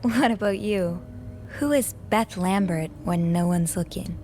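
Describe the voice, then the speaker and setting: An actress voicing a young hotel maid in a video game, playful but easily shaken, in a naturalistic style. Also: female voice, medium pitch, measured pace, a little mellow, slight background noise, close to an everyday voice. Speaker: hotel worker character.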